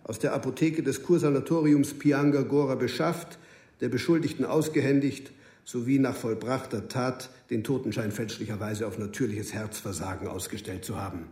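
In an echoing room, a formal federal agent speaks in a radio play, acted by a man. He has a dark, mellow tone and a dry, pedantic, bureaucratically polite manner. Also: mid-range voice, steady tone, moderate pace, close to an everyday voice. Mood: officious. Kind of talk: radio drama acting. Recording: roomy, echoing studio interior.

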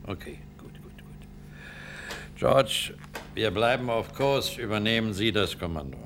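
A man playing a stern, weary starship commander and father in a science-fiction radio drama, gruff, halting and authoritative. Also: fairly low pitch, expressive, a bit bright, slight background noise.

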